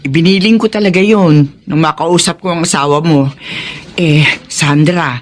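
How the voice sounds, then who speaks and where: An actress playing a warm-hearted, middle-aged mother in a Filipino radio drama, chatty and motherly. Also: low voice, moderate pace, close to an everyday voice. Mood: friendly.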